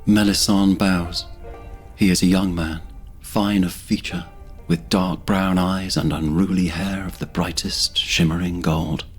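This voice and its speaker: A man narrating a scripted fantasy audio drama in a measured, descriptive storytelling manner. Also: sits low.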